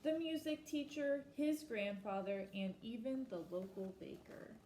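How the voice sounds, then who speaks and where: Woman, storybook read-aloud, expressive, slows for emphasis, roomy echo, faint background hiss. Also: on the high side. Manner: asks listeners reflective questions. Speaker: storybook reader.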